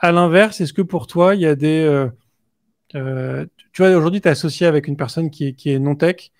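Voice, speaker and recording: Guy hosting a podcast, voice a bit high, lots of ums while asking. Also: moderate pace. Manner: hesitant, many fillers. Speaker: podcast host. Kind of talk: casual interview questions.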